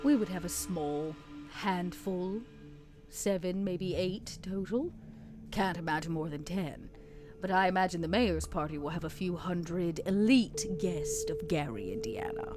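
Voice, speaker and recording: A woman acts as a female vampire envoy in a tabletop horror game, her voice on the low side. She is poised and calculating, measured and formal, with quiet music underneath. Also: natural voice. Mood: assured.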